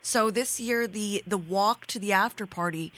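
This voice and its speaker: A woman hosts a radio interview from the studio and talks in a warm, complimentary way. She stops and restarts often and fills her pauses with little sounds. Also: mid-range voice. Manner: warm, complimentary, with frequent fillers. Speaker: radio host.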